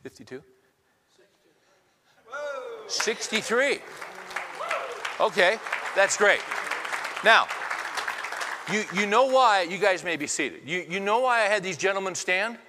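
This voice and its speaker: A man's voice: high-pitched, animated, slow, pauses often, background noise. A man in his fifties preaching a sermon, talking conversationally and putting many questions to the congregation. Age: 50s. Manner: conversational, asks the crowd many rhetorical questions. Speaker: pastor. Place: church hall with congregation. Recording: long reverb of a large hall.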